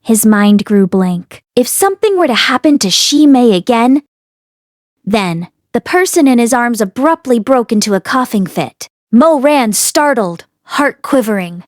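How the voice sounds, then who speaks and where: High-voiced woman narrating a fantasy novel. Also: sing-song, a little slow, no echo, clean studio sound. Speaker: audiobook reader.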